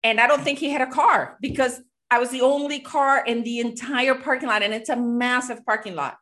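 A woman's voice: fairly high pitch, steady tone, fairly quick, a bit bright. A middle-aged woman co-hosting a podcast, chatting casually and acting out quotes animatedly in her stories. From Puerto Rico. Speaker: podcast co-host. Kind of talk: casual chat.

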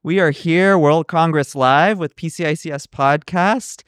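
Male podcast host, slightly high voice, dry close sound, upbeat and appreciative casual interviewer. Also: a little slow, dry recording.

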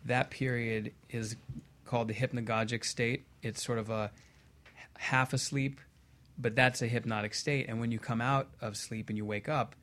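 A man's voice: mid-range voice, fairly even intonation, moderate pace, fairly bright tone. A man speaking as a guest hypnotherapist on a talk show, explaining and telling anecdotes conversationally.

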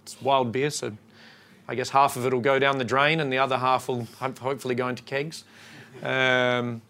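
An Australian man with a gravelly, creaky voice talks to a crowd about his brewery. He speaks in a relaxed, halting way, with lots of pauses and fillers. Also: medium pitch. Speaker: brewery co-owner. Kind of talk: casual talk to a shop crowd.

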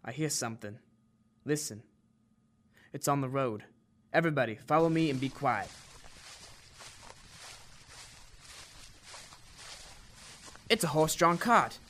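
A man playing a commanding American army officer in a World War II radio drama, giving terse, gruff orders. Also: mid-range voice, animated, normal speed, fairly bright tone, slight background noise, close to an everyday voice. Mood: hushed, alert.

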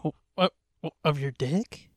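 A man's voice: a little high, takes it slowly, dry recording, studio quality. A man co-hosting a podcast in casual comic banter, crude, profane and joking. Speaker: podcast co-host.

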